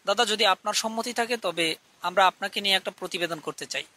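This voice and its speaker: A man's voice: high-pitched, fast talker, bright, forceful tone. A man voicing an eager young ghost-hunting TV reporter in a scripted cartoon. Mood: keen, formal. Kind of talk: scripted cartoon dialogue and show presenting.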